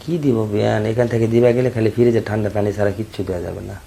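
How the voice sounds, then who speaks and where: A man's voice: on the low side, fairly even intonation, racing, gravelly, mellow, slight background noise. A man with a Bangladeshi accent having a casual, flirtatious chat on a recorded phone call.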